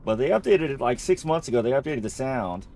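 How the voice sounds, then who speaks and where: A man's voice: medium pitch, lively intonation, brisk, a little mellow. A man giving casual, rambling and jokey commentary as he plays a video game, often repeating himself. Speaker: gamer narrating a playthrough.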